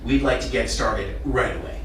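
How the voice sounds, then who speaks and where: A man's voice: medium pitch, normal speed, a bit bright, a little hiss or hum, lightly acted. A man reading a persuasive male music mogul, salesman manner, roomy echo, distant mic. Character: slick male music industry mogul pitching a deal. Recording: echoey room, distant mic.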